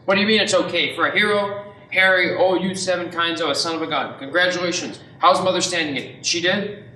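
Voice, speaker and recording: Radio play lead: a man plays warm-hearted, excitable small-town husband, sharp-edged voice, off-mic. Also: sits high, brisk. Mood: excited. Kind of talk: amateur radio play acting. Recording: echoey room, distant mic, background noise.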